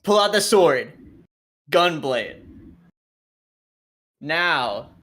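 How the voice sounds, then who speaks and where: An unhurried, mid-pitched voice at a casual roleplay session. The player narrates fight moves with swagger, peppering them with slang and swearing. The talk is loose and conversational. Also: lively intonation, fairly bright tone.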